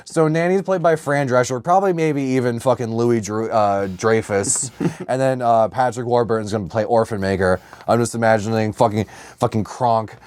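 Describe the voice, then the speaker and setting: A man's voice: mid-range voice, normal speed, a little mellow, clear, resonant tone, no echo. A young adult man co-hosting a podcast in casual comedic banter, slangy and sweary as he riffs and acts out quotes. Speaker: podcast co-host.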